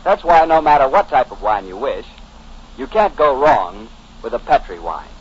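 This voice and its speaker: A man's voice: mid-range voice, very animated, normal speed, breathy edge. A man with an American accent announcing on 1940s radio, with a folksy but polished sales delivery. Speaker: radio announcer and sponsor pitchman. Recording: muffled, noisy 1940s radio recording.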